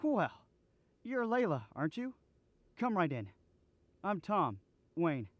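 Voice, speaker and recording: A man plays a jittery, guilt-ridden young accomplice in an old-time radio drama. He talks slowly and haltingly, with big swings in pitch and a defensive edge. The recording is dry. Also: big pitch swings, no echo, lo-fi sound, full character voice. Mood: awkward, subdued. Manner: nervous, hesitant, halting.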